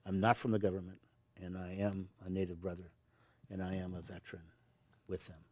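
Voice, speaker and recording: A man's voice: deep voice, level tone, brisk, dark tone, dry recording. A man in his sixties from Montana, a veterans service officer answering interview questions earnestly, often repeating words as he starts a thought.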